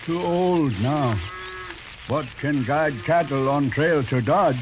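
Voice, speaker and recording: A proud old army scout in a radio western, pleading his case in clipped, broken English. His voice sits fairly high for a man and moves slowly. The old recording is muffled and clearly noisy. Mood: earnest. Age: older.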